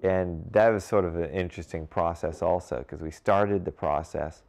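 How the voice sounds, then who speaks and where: A man's voice: sits low, fairly even intonation, normal speed, creaky, darkish tone. A middle-aged man, interview guest, telling his story in an easygoing conversational way. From grew up in Cincinnati and Brussels. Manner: easygoing, uses fillers and restarts, occasional light laughter. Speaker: interview guest, brewery founder.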